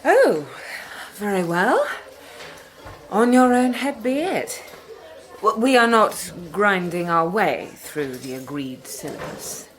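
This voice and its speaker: Sardonic schoolteacher, measured and lively. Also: female voice, medium pitch, slight background noise. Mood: defiant. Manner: brisk classroom authority, deadpan irony. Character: defiant, sardonic woman schoolteacher giving a scripture lesson. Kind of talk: radio drama acting.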